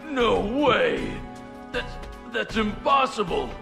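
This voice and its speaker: A man playing an excitable, jittery underling in a video game cutscene. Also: high voice, slow, vocal fry, exaggerated voice. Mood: shocked. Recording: long hall reverb, music underneath.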